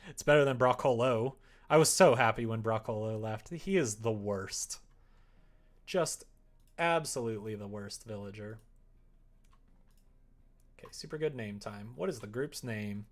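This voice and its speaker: A man's voice: fairly low pitch, unhurried, pauses often. A man streaming live, chatting casually and muttering to himself as he thinks aloud while working at the computer.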